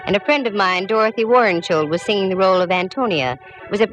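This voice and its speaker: A woman's voice: medium pitch, quick pace, a bit bright, muffled recording, close to an everyday voice. A woman playing a wisecracking private detective, narrating in wry hardboiled style in a 1940s radio drama. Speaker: lead actress and narrator. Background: music.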